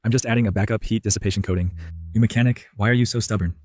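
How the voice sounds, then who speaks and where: A man's voice: fairly low pitch, fairly even intonation, racing, a little mellow, no room sound, lightly acted. A man voicing a pragmatic, matter-of-fact military strategist in an English anime dub.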